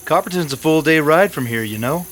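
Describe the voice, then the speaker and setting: An actor playing a bitter, disgruntled captive gambler with an in an old-time radio drama. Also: male voice, on the high side, expressive, a little fast, fairly bright tone, a little hiss or hum. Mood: sullen. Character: bitter, disgruntled male gambler held prisoner, a father.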